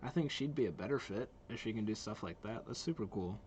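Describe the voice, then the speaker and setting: Animated young man reacting casually. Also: lowish voice, fast talker, a little hiss or hum. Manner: informal, exclamatory, musing aloud. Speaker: video game reaction host.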